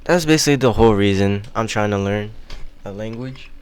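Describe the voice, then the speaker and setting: A young man explaining things in a casual, unscripted vlog, halting and slangy as he thinks aloud. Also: fairly low pitch, darkish tone, clear, resonant tone. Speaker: video host.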